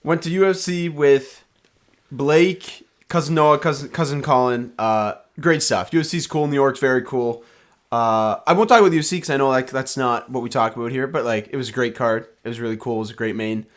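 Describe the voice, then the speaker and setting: A man's voice: mid-range voice, fairly quick. Joking young man in casual sports chat. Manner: loose, joking, rambling with restarts. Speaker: podcast co-host.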